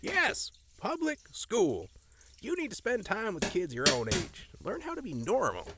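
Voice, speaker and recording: Cantankerous old grandpa, wildly animated. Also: male voice, high voice, a little slow, heavy background noise, exaggerated voice. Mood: gruff but caring. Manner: folksy, blustering, old-timey exclamations. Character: cantankerous folksy old grandpa, retired doctor, stern. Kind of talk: audio drama acting.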